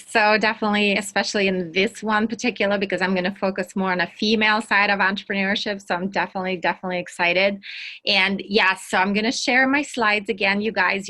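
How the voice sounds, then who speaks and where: A woman from Russia with a Russian accent, giving an energetic online workshop talk and often repeating words for emphasis. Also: mid-range voice. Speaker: workshop speaker. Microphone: video call.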